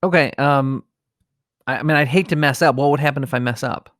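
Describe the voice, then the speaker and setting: A man's voice: medium pitch, fairly quick, a bit bright, dry recording, clean studio sound. An American man hosting a comedy podcast, reading mock obituaries with strained solemnity and chatting casually. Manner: mock-solemn reading that keeps breaking into suppressed laughter.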